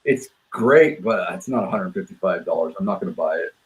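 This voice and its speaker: A man's voice: sits low, expressive, brisk, poor audio. A man from Quebec who reviews whisky, chatting as a guest on a live stream. He talks in an opinionated, emphatic way and repeats words when he gets going. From Quebec, Canada. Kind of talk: casual live-stream chat.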